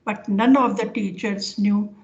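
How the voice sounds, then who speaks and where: An older woman with an Indian accent giving an informal academic lecture over a video call, speaking haltingly as she explains with examples. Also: mid-range voice, steady tone, darkish tone. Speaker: professor giving an online lecture. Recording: dull, lo-fi online call audio.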